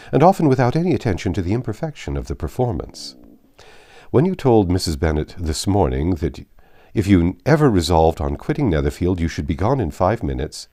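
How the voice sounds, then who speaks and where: Man reading as a proud, low-voiced, reserved gentleman. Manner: formal, measured, cutting. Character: Mr. Darcy, proud, reserved, precise young gentleman.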